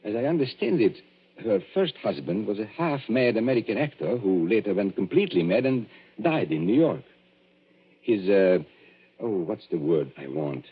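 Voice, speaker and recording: A theatrical stage magician with a low, husky, lively voice, urbane and mocking. The figure comes through a thin, tinny broadcast, like an old radio drama. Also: male voice, moderate pace. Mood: suave, mocking. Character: suave, teasing male stage magician. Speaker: magician and escape artist. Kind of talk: 1940s radio drama acting.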